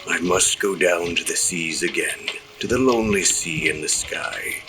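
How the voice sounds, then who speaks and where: Man acting deep-voiced solemn old sea captain. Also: poor audio. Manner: formal, old-fashioned, poetic diction. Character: solemn older sea captain, reverent of the water. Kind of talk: period audio drama acting.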